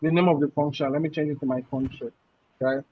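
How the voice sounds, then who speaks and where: Man, coding instructor, slightly high voice, step-by-step explanations, says "right?" often, muffled audio. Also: steady tone, fast. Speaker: programming instructor. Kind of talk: live coding class explanation.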